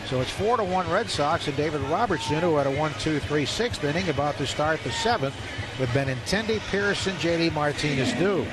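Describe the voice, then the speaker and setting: Male baseball commentator, slightly high, noisy stadium. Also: fairly quick. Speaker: baseball radio broadcaster. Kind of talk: live radio sports commentary, recapping. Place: stadium broadcast booth. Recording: steady stadium noise behind voice. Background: ballpark ambience.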